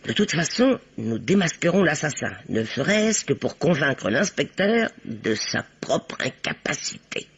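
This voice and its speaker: Bossy, husky spinster sleuth, wildly animated. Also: female voice, low voice, a little slow, few pauses, full character voice. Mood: self-satisfied. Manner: theatrical, emphatic, authoritative. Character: bossy older spinster playing amateur detective, self-assured. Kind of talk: old French radio play acting. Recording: dull, lo-fi old radio recording.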